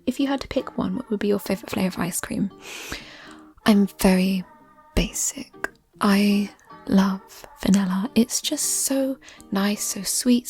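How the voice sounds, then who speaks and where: A woman speaking in a soft, breathy, often near-whispered voice, chatting gently and ramblingly in an ASMR style. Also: mid-range voice. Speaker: ASMR creator answering listener questions. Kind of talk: soft-spoken ASMR chat. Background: music.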